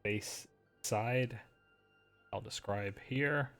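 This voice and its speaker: A man running a tabletop game as game master, describing scenes in a relaxed way with many pauses. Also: lowish voice, faint music behind.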